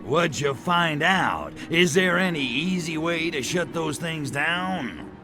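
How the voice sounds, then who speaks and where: An actor playing a cocky, lecherous alien invader in a video game, with a sardonic, wisecracking drawl. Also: male voice, on the high side, animated, slow, over music. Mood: flirty, sly. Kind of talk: video game cutscene acting.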